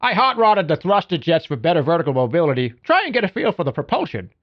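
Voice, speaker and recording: A man's voice: fairly high pitch, sing-song, fast talker, muffled recording. A man playing a cocky, folksy video game mechanic who briefs a pilot with slangy wisecracks. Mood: upbeat, wisecracking. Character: cocky, folksy spaceship mechanic who babies his mech suit. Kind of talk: video game character voicelines.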